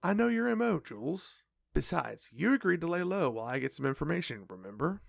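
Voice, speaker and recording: A woman playing a protective, cautious fey sibling in an audio drama, earnest and gently stern. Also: sits low, sing-song, fairly quick, gravelly, no room sound, studio quality, exaggerated voice. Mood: wryly stern.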